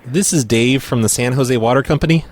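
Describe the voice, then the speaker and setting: A man's voice: medium pitch, brisk. Accusatory, stammering male prank caller. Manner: stammering, accusatory, mock-serious. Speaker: prank caller, show host. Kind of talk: prank phone call posing as a water company worker.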